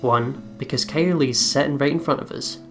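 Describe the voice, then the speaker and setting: A man's voice: mid-range voice, moderate pace, music in the background. A man co-hosting a let's play, reading a visual novel aloud and chatting casually about it.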